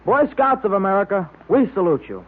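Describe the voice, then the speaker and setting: A man's voice: sits high, very animated, brisk. A male performer playing a keen boy club leader in a 1930s radio segment, on a tinny old recording. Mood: keen, official.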